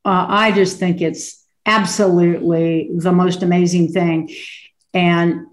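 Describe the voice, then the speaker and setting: A woman's voice: fairly low pitch, little pitch movement, slow. A woman hosting a talk show, gushing and rambling enthusiastically about what she loves. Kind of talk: casual interview chat.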